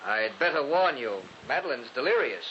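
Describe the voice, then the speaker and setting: A man's voice: medium pitch, very animated, normal speed, pushed and bright. A man playing a tormented, sinister brother in 1940s radio horror style, brusque and melodramatic. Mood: uneasy, curt. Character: tormented, sinister brother of a dying twin sister. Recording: muffled, hissy 1940s radio recording.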